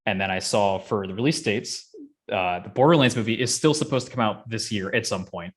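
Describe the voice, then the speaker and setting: A man's voice: on the low side, fairly quick. A young man chatting casually as a podcast host. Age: young adult. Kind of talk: casual chat.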